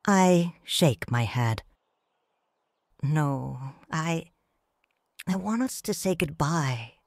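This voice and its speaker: A middle-aged woman reads a first-person story as a grieving widow and mother. Her voice is low but expressive, with wide melodic rises and falls, and her pace is slow and tender. The sound is dry and close. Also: no echo. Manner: slow, soft, tender and reflective. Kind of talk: scripted audiobook narration.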